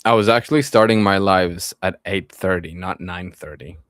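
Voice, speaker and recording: A young man in his twenties with a low voice chats casually on a live stream, answering viewers' comments and then returning to his topic. His delivery is easygoing and friendly, with plenty of trailing fillers. The sound is dry and close, with almost no room echo. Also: normal speed, a bit bright. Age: 20s. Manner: reads viewer comments aloud and answers them, drifting back to his topic.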